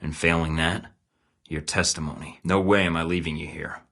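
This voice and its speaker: A deep-voiced, terse secret agent. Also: male voice, fairly even intonation, normal speed, vocal fry, fairly bright tone, exaggerated voice. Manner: dry, clipped, matter-of-fact. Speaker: video game protagonist. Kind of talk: recorded game voice lines.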